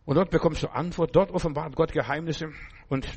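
A man of middle years delivers a sermon in a slightly higher-than-usual voice. He speaks in short bursts, jumping in pitch and loudness, and keeps asking his listeners whether they follow. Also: steady tone, brisk, husky, lo-fi sound. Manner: speaks in short phrases with sudden pitch and volume jumps. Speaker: preacher.

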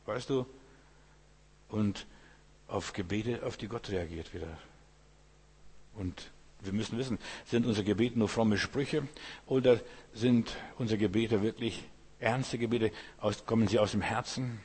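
A man's voice: on the low side, measured pace, pauses often, darkish tone, husky, big-room sound. A man from Lithuania, whose first language is Lithuanian, preaching an informal sermon in a chatty way and often asking his listeners whether they follow. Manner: conversational, keeps asking "verstehst du?".

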